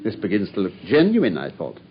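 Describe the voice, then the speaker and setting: An actor playing a self-assured English detective in a radio drama, telling things with brisk, wry amusement. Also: male voice, fairly low pitch, wildly swooping pitch, slight background noise. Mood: wry storytelling. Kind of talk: British radio drama acting. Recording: dull, muffled radio drama recording.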